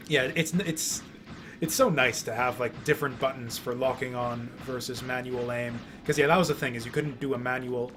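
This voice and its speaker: Guy streaming a game, chatty and rambly, repeats himself, normal pitch, noise in the back. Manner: rambling, halting with repeated words. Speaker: streamer playing and commenting on a video game. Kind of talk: casual game commentary. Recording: clear background noise.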